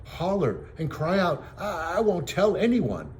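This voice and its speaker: A man voicing a quick-witted boy from a storybook who poses as a prince, earnest and haughty, on a noisy home recording. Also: high-pitched, big pitch swings, a little mellow, pitched above the performer's own voice. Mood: urgent pleading. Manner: earnest, feigning innocence and princely command.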